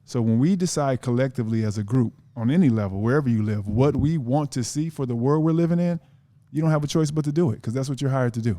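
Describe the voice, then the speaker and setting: A man's voice: mid-range voice, fast, few pauses, warm tone, no echo. A man, an author guest on a podcast, talking earnestly and casually, often repeating words as he thinks.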